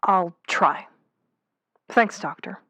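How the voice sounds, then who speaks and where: A woman's voice: lowish voice, extremely slow, a bit bright, slightly rough, no room sound, full character voice. Candid, hesitant mermaid woman caller. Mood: resigned. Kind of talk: naturalistic audio drama phone-in.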